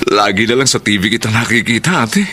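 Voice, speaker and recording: A man's voice: lowish voice, fast, bright, forceful tone. A Filipino actor playing an affectionate younger brother who hides his troubles, in a radio drama. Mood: playful, affectionate. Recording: clear background noise and ambience.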